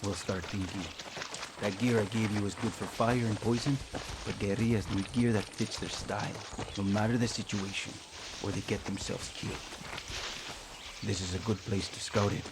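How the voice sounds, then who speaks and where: A man playing a bitter, tough guerrilla fighter in a video game, talking in a gruff, curt, profane way. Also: on the low side, steady tone, moderate pace, very noisy, natural voice. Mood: terse briefing. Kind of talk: video game dramatic acting.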